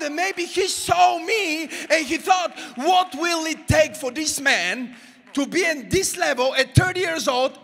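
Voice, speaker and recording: A young male pastor preaches to a congregation in a big sanctuary, his high, bright voice carrying a gravelly edge. He swings from shouted calls to hushed asides. A long reverb rings around each phrase. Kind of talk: sermon. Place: large church auditorium with congregation. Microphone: handheld mic.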